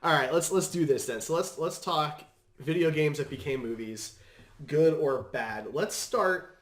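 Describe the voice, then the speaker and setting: A man's voice: a little high, a little slow. A man hosting a podcast, talking casually about movies. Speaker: podcast host. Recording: roomy, echoey room recording.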